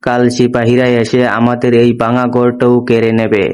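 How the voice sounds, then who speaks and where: A man's voice: medium pitch, completely flat delivery, normal speed, darkish tone, clean tone, natural voice. A man voicing a poor, anxious woodcutter father who laments in a cartoon fable. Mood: despairing. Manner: lamenting, worried. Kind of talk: moral story cartoon dialogue.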